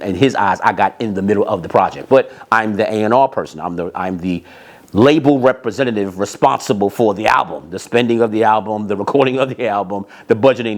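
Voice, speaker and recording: A middle-aged American man telling stories from his career in a casual, emphatic way, repeating phrases for emphasis. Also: fairly low pitch. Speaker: host, former record label A&R representative. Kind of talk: casual autobiographical storytelling.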